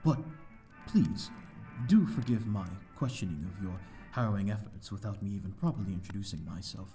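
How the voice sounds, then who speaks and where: A man's voice: on the low side, expressive, normal speed, mellow, faint music behind. A man playing a courteous, lonely vampire host in an ASMR audio roleplay, speaking in a formal, flowery, slightly flustered and hesitant way. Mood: courtly, wistful. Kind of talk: ASMR audio roleplay, scripted character acting.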